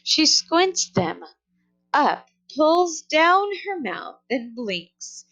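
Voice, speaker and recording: A fretful storybook mother, as a woman reader puts her on, fussy and exclamatory. Her voice sits fairly high and swings up and down expressively. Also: sing-song, extremely slow, creaky, bright, forceful tone, heavily acted, rough recording. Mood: flustered. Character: worried, fussy mother upset about her rude daughter.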